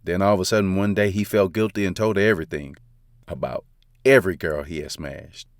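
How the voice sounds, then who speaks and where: A man's voice: low voice, brisk, no room sound, clean studio sound. A man narrating a first-person romance story in a casual, confiding, slangy way. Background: faint background music.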